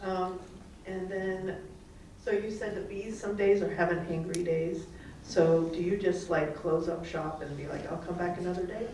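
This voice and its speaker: A woman's voice: fairly low pitch, steady tone, moderate pace, warm tone, a little hiss or hum. Woman asking from far in an echoey room. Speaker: student. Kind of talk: asking a question in class. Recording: distant mic in very echoey room.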